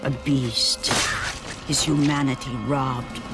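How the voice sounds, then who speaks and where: A man's voice: medium pitch, little pitch movement, takes it slowly, loud music behind the voice. A man playing a solemn storyteller, narrating a mythic video game cutscene with grave, dramatic emphasis. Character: solemn epic storyteller of a mythic Greek tale.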